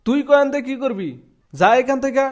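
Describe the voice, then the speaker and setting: A man's voice: very high-pitched, animated, quick pace, darkish tone. A man voicing a bossy, crooked beggar chief in a cartoon, pompous and speechifying, with a Bangladeshi accent. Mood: suspicious. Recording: music behind the voice.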